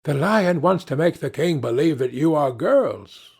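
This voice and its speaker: A man voicing a shrewd talking lion in a storybook, explaining things in a measured, insistent way. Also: on the high side, animated, gravelly, husky, heavily acted. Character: male talking lion, shrewd royal adviser.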